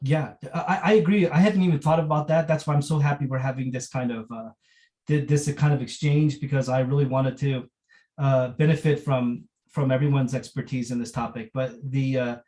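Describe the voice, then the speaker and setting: Halting, mellow-voiced male lecturer. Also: medium pitch, fairly even intonation. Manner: halting, searching for words, repeats phrases. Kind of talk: unscripted academic lecture.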